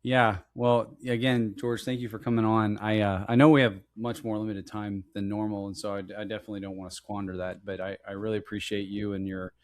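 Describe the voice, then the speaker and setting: A man's voice: on the low side, flat delivery, a little fast, hardly any pauses. A man hosting an interview podcast over a video call, talking in a friendly, rambling way with lots of restarts and some teasing. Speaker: podcast host. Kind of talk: casual interview chat.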